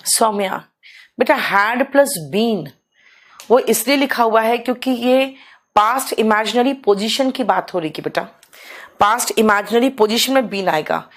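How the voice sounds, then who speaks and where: Hoarse woman teacher, live online class, motherly, keeps clearing her throat. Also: on the high side. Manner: motherly, calls students "beta", coaxing them to hurry. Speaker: English teacher.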